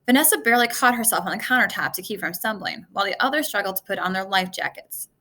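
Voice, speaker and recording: A woman reading a children's adventure novel aloud in an expressive way, occasionally stumbling over a word. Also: medium pitch, fast talker. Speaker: storybook reader.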